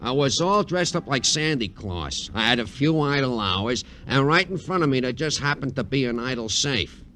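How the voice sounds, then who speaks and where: Blustering, sharp-voiced tough ex-con. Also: male voice, medium pitch, expressive, normal speed. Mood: wheedling. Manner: blustering, tough-guy street talk. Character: gruff, touchy middle-aged ex-con safecracker playing Santa. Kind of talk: 1950s radio drama acting. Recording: noisy old radio recording.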